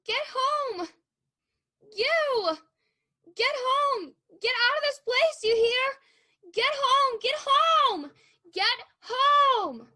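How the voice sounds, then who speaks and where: Woman acting a very high, frightened young woman. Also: animated, drawn-out words, bright, forceful tone, heavily acted. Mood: frantic shouting. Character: frightened, fragile young woman, panicky and tearful. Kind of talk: 1940s-style radio play acting.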